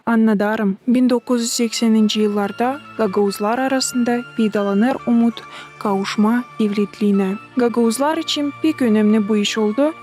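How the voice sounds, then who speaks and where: A woman voicing a patient mother who tells history to children in an animated cartoon, in a measured storytelling style. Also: on the high side, lightly acted.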